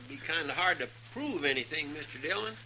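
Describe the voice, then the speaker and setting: A man's voice: fairly high pitch, lively intonation, quick pace, a little hiss or hum, heavily acted, poor audio. A man acting a folksy, deferential deputy in a 1950s radio western, with a drawling rural Western American accent. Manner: drawling, homespun. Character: folksy, deferential, complaining deputy in old West. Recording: 1950s radio recording, loud music.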